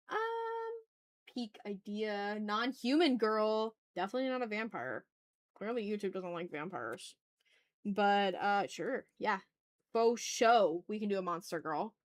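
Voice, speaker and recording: A woman with a mid-pitched voice streams as an artist. She chats casually to viewers and half talks to herself as she works. Short phrases get repeated, mixed with mild exclamations. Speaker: streamer, artist hosting a live art stream. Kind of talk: casual livestream chat, muttering to self while drawing.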